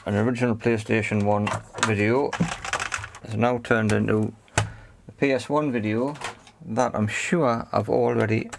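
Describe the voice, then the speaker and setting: A man's voice: fairly low pitch, fairly even intonation, unhurried, gravelly, noisy background. A man giving casual, unscripted commentary on a hands-on repair, thinking aloud in short phrases. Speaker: host of an electronics repair video.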